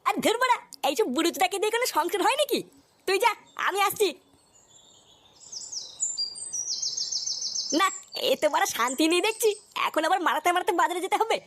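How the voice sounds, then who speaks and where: A woman's voice: extremely high pitch, lively intonation, rapid-fire, a bit bright. A woman voicing a cocky, irritable young village man in a comic cartoon, brash and full of complaints. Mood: irritated.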